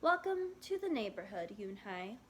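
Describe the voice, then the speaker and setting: A woman's voice: mid-range voice, sing-song, moderate pace, slight background noise, close to an everyday voice. A woman voicing a friendly, courteous man who keeps a neighborhood shop, kindly and welcoming, in a storybook.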